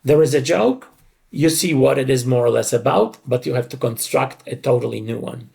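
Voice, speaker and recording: A man's voice: mid-range voice, moderate pace, gravelly, warm tone. A middle-aged man giving conversational interview answers in an emphatic, explanatory manner, often repeating short phrases. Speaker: interview guest, dubbing creative director.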